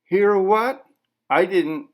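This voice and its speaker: A man's voice: high voice, lively intonation, very slow, voice pushed up, full character voice. A man voicing a nervous, polite interviewer in a short story reading, asking questions in a tentative, formal way. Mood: uneasy.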